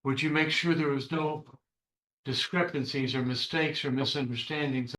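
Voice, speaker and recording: A man's voice: medium pitch, fairly even intonation, moderate pace, fairly bright tone, a little hiss or hum. A man working as a defense attorney, questioning someone in court with conversational, tentative phrasing. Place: indoor courtroom. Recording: echoey courtroom sound.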